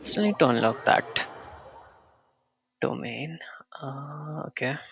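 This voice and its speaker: A man streaming a video game live, muttering quiet asides to himself, often near a whisper. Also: medium pitch, takes it slowly, gravelly, muffled recording, noisy background.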